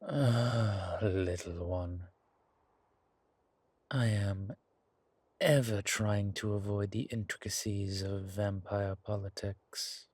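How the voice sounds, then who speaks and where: A man playing a weary, wry vampire elder in an audio roleplay, speaking in a slow, sardonic drawl with formal, old-fashioned wording. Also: on the low side, steady tone, darkish tone, slightly rough, dry recording. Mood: weary disdain. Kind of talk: dramatic audio roleplay acting.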